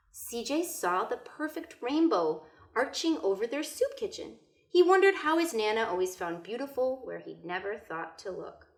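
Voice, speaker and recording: Animated high-voiced woman reading a storybook. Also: measured pace, echoey room. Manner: expressive, explains pictures to young listeners. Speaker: teacher reading a picture book aloud. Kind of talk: animated children's storybook read-aloud with asides.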